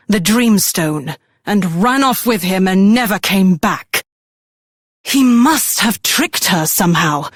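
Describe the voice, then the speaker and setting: A proud, prickly fairy mother for a video game. She speaks slowly and disdainfully at a middling pitch, recorded dry and close. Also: no room sound. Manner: curt, disdainful. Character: grumpy, proud fairy mother who dislikes humans. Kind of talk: video game character voice acting.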